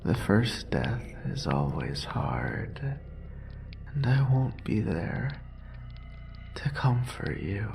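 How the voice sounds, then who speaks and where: A man playing a deranged, possessive male lover in a horror audio roleplay, speaking in breathy, halting, intimate fragments. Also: sing-song, background noise, full character voice. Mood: dying, tender. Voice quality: breathy, whispery, creaky low voice. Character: obsessive, deranged yandere male lover, tender and murderous.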